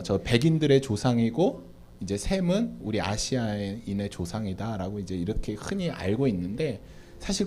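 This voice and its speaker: A man's voice: mid-range voice, a little mellow. A man who is a pastor, answering questions at church in a preaching style, often addressing the audience and answering his own questions.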